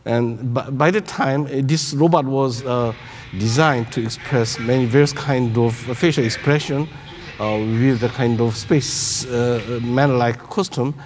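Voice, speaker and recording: A man in his sixties with a Korean accent, giving a conference keynote in halting English, searching for words. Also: mid-range voice, a little mellow, slight background noise. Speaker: keynote speaker, robotics researcher. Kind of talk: conference presentation. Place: large conference hall on stage. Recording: echoey hall through PA.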